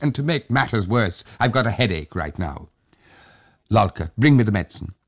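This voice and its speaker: A man's voice: lowish voice, lively intonation, normal speed, slightly rough, pitched above the performer's own voice. A man voicing a nervous, complaining middle-aged village mother who sounds fretful and exasperated.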